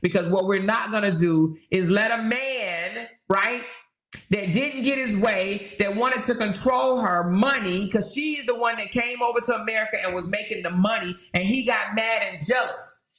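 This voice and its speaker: A woman talks straight to her livestream audience, stressing every point and circling back to repeat it. She keeps checking in with quick rhetorical questions. Her voice rings off the walls of an echoey room. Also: mid-range voice, a bit bright. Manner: emphatic and repetitive, hammering points with rhetorical questions. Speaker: livestream host. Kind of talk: casual livestream commentary, reading viewer comments.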